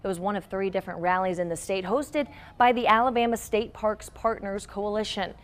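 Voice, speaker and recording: A woman's voice: mid-range voice, flat delivery, a little fast. A woman working as a news anchor, reading scripted local news stories.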